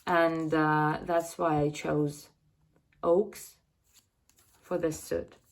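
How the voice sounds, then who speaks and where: A woman's voice: low voice, flat delivery, very slow, gravelly. A woman in her thirties from Russia, earnestly explaining a deck of cards to camera, with frequent fillers and restarts. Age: 30s. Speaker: card reader presenting her deck. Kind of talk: casual spoken explanation to camera.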